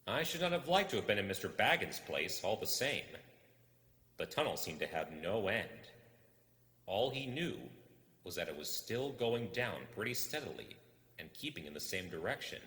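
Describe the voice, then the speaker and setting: A deep-voiced man narrates a tale with a lively, swinging intonation. His delivery is measured and dramatic, pausing deliberately between phrases. The sound is roomy, with a long reverberant tail. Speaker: audiobook reader. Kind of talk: expressive storybook narration.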